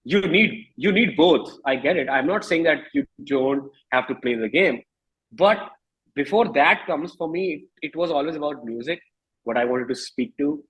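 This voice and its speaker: A man with an Indian accent talking candidly as an interview guest, emphatic but halting, often repeating phrases, in a husky voice. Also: a little high, animated, fairly bright tone, low-quality recording. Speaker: musician guest.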